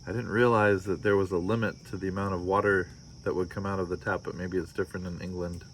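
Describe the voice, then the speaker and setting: American male storybook reader, fairly low voice, drily joking asides, slight noise behind. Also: little pitch movement, fast, low-quality recording. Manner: wry, joking commentary. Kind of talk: children's story read-aloud with wry casual asides.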